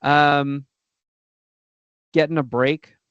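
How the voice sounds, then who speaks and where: A man's voice: fairly high pitch, flat delivery, drawn-out words, fairly bright tone, smooth, no room sound. Male guest in his forties, supportive chat, slightly dull dry line. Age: 40s. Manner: brief, supportive reactions. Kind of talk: casual chat.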